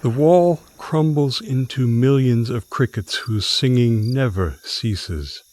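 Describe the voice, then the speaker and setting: A man's voice: mid-range voice, slow pace, darkish tone. A man giving a literary, spoken-word recitation as a performer in a studio piece.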